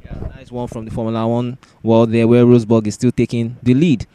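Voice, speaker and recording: A man's voice: mid-range voice, completely flat delivery, brisk, dark tone, clean tone, no echo. A man hosting a casual sports discussion podcast, often repeating words and phrases for emphasis.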